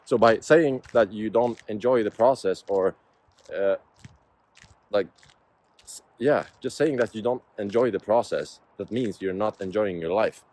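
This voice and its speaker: Low-voiced guy in his 20s, casual reflective vlog chat. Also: a little slow, pauses often, a little mellow. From Sweden. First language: Swedish. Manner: reflective, musing, self-motivational. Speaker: vlogger. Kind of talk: casual vlog talk to camera.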